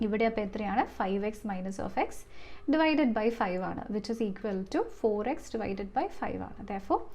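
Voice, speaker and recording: A woman's voice: mid-range voice, animated, slow pace, darkish tone. A woman with a Malayali accent teaching step by step as an exam coach, often asking rhetorical questions and slipping into English terms. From Kerala, India. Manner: step-by-step, frequent rhetorical questions and check-in tags. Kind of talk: explanatory lesson, working through maths problems.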